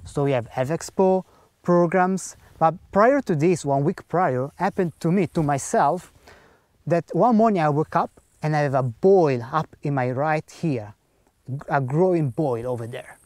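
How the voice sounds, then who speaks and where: A non-native English-speaking man recounts his testimony in earnest, plain wording, acting out the people in his story. His voice sits a little high for a man, and the recording is close and dry with no room sound. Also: unhurried, darkish tone, no room sound. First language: not English. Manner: earnest storyteller who acts out the dialogue, in simple non-native grammar. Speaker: speaker giving a personal testimony. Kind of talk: personal faith testimony storytelling.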